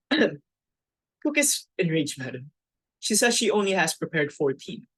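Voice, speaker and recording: A woman playing a dismayed, deferential household servant in a dramatic reading of a stage comedy, husky and hesitant as the servant protests. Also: deep voice, very animated, slow pace, heavily acted. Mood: worried, protesting.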